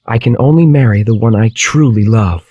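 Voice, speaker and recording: A man voicing a kind young prince, sincere, gentle and romantic, in a children's storybook tale. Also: on the low side, fairly quick, slightly rough, no echo, muffled recording. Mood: resolute. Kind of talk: children's storybook character acting.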